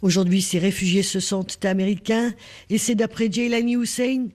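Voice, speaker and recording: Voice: mid-range voice, little pitch movement, normal speed, a little mellow. A reporter narrating a scripted news report.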